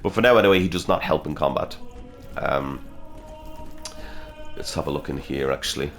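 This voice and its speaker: Brief: low-voiced male game host, a bit sniffly from a cold, casual and swearing, faint game music underneath. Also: lively intonation, normal speed. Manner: chatty, swears, reacts aloud while fighting. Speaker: gaming video host. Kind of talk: casual gameplay commentary.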